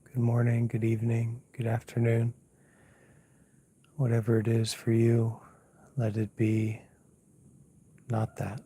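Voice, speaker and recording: Slow, husky man guiding a meditation. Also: medium pitch, completely flat delivery. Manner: hushed, unhurried, pausing often, gently instructive. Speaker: Buddhist monk, meditation teacher. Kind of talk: unscripted guided meditation. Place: outdoors at night, lying down under a mosquito net.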